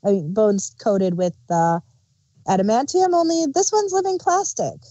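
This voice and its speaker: A woman's voice: mid-range voice, dark tone, husky, no room sound, highs cut off. A woman co-hosting a review podcast, chatting casually and laughing often. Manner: unhurried, amused, laughs often. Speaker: podcast co-host reviewing an audio drama.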